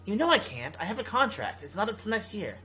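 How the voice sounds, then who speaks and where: Casting: laid-back young man, pro gamer in a visual novel voiced by the person reading it, mid-pitched, proud and fed up. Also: big pitch swings, fast talker, bright, forceful tone. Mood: hesitant.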